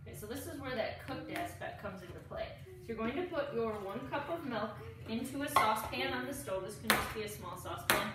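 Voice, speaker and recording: A woman's voice: on the high side, expressive, a little fast, few pauses. A woman in her thirties giving casual step-by-step cooking instructions as a home cook in her kitchen. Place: home kitchen, indoors, dish clatter behind. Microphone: camera a few steps away. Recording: roomy, distant camera mic with clear noise.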